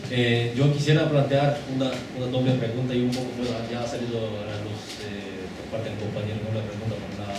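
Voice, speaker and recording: A man's voice: mid-range voice, flat delivery, brisk, few pauses. A man who is not a native Spanish speaker, asking a long, hesitant question from the audience at a lecture. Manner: hesitant, many fillers and restarts. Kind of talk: formal question from the floor. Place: lecture hall. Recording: echoey hall, distant mic, background noise.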